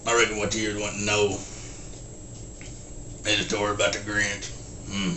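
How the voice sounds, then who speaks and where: A man voicing a slow, simple-minded rural Southern man, telling a folksy story in halting, deadpan short sentences with grunted hums and a Southern US accent. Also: lowish voice. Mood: deadpan, plodding. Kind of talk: folksy storytelling, film character impression. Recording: roomy echo, faint background noise.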